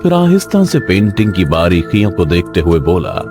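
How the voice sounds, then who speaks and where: A man narrates a scripted thriller as a dramatic storyteller. His voice is very deep. Music is clearly audible behind the narration. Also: very animated, normal speed.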